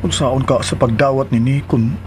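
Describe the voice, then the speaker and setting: A man's voice: medium pitch, normal speed, natural voice. Man acting a worried husband, car noise behind. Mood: worried, resigned. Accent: Cebuano. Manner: brooding, musing to himself. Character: worried husband heading home to trouble, brooding. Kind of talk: Philippine radio drama monologue.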